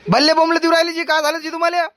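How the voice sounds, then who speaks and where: A woman playing a brisk, sassy farmer's wife in a village comedy cartoon, scolding colloquially in a Vidarbha Marathi accent. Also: very high-pitched, level tone, a bit bright, no echo. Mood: teasing scolding. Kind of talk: homemade rural comedy cartoon dialogue.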